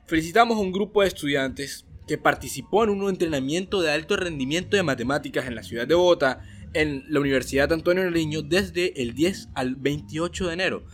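A young male co-host with a somewhat high voice reads school news and trades friendly remarks with his partner. Soft music plays quietly underneath. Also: brisk. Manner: reads news items, chatty asides. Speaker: student podcast co-host. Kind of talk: school news podcast hosting.

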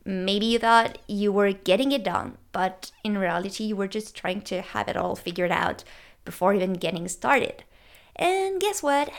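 A woman's voice: mid-range voice, expressive, moderate pace, creaky. A woman with a French accent, whose first language is French, hosting her own podcast in an upbeat, playful way and asking her listeners questions. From France. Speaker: podcast host. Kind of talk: scripted solo podcast talk.

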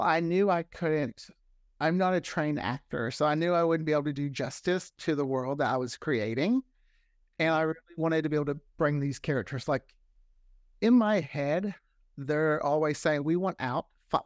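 Higher-voiced man telling stories casually. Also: normal speed, no room sound, clean studio sound. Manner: animated storyteller, often acts out quoted remarks. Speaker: guest, audio drama creator. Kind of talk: casual interview talk.

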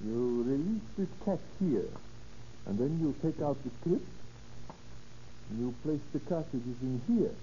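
An actor playing a polite, cautious hardware store clerk, courteous and hesitant, in a 1930s American radio crime drama. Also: male voice, medium pitch, animated, measured pace, pauses often, dark tone, close to an everyday voice. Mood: helpful, uneasy. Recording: dull, hissy old radio recording.

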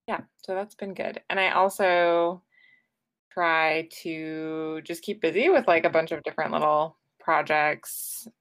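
A woman co-hosting a podcast, chatting casually and relaxed, laughing easily and using many "like" fillers. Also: deep voice, a little slow, no room sound. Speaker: podcast co-host. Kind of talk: casual chat.

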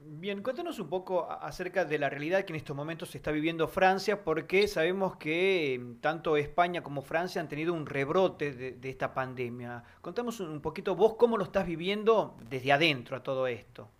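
A man co-hosting an interview, offering brief affirmations and measured questions. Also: on the high side. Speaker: co-host interviewer.

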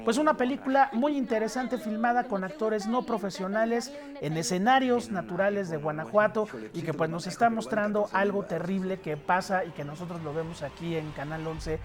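A man with a Mexican accent giving conversational film reviews on a morning show, animated and emphatic. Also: high-pitched, normal speed, few pauses. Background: clear background music.

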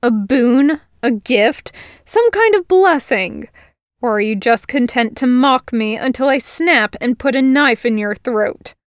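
A woman voicing a surly male forest fae who weaves webs, talking slow, cold and snarling. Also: fairly high pitch, animated, dry recording, clean studio sound. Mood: menacing.

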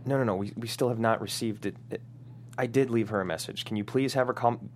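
An actor reading the part of a hesitant, weary esports team manager at a table read of a pilot script. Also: male voice, medium pitch, fairly even intonation, fast talker, close to an everyday voice. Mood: anxious, polite. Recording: clear hum and background noise.

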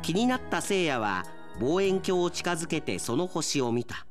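A man performing as an earnest radio drama narrator, with measured, dramatic scripted delivery. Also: on the high side, sing-song, faint music behind. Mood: suspenseful.

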